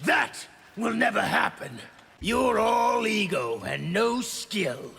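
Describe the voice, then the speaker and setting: A hostile, fanatical soldier from a fighting game. The voice is forceful, very raspy and drawn out slowly, snarling with strain. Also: male voice, high-pitched, big pitch swings, faint music behind, exaggerated voice. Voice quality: harsh, gravelly, strained. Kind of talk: dramatic fighting-game dialogue. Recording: long reverberant hall echo.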